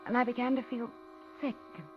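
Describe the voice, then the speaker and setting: An actress playing a polite, lonely older widow in a 1950s radio thriller, talking and narrating in a genteel, dramatic way. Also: female voice, a little high, fairly quick, lightly acted. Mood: uneasy, haunted. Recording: dull 1950s radio recording, music behind.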